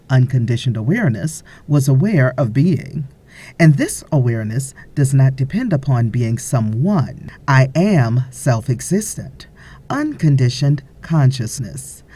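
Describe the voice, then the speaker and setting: A woman reading a spiritual audiobook as scripted narration, in a measured, deliberate way with frequent pauses. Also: rumbling low, dark tone, husky.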